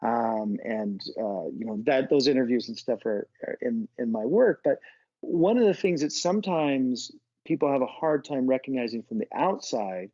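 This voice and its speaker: Chatty American professor, dull lo-fi sound. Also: male voice, medium pitch, animated, normal speed, dark tone, dry recording. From United States. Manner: thoughtful, restarts often, many fillers. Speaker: business school professor. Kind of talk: casual podcast interview.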